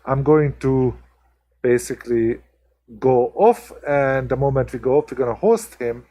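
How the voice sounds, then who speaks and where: A man's voice: medium pitch, measured pace, dark tone. A man from Hungary with a strong Hungarian accent, chatting casually with viewers as the host of a live stream, full of ums and restarts. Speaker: livestream host.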